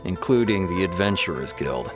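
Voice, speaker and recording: A firm, businesslike law officer, speaking matter-of-factly in a lowish voice. Game music plays clearly behind him. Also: male voice, lively intonation, normal speed. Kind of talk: video game voice acting.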